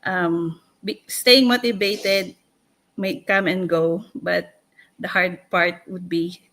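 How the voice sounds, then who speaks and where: A woman, talking casually as a livestream co-host, haltingly searching for words. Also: lowish voice, fairly even intonation, a bit bright. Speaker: co-host and panelist.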